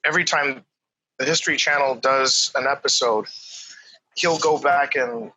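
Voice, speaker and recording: A man's voice: mid-range voice, normal speed, dry recording, low-quality recording. A man who grew up in Korea with English as his first language, talking casually as a podcast guest with lots of fillers and hesitations. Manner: relaxed, hesitant, many fillers. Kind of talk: casual chat.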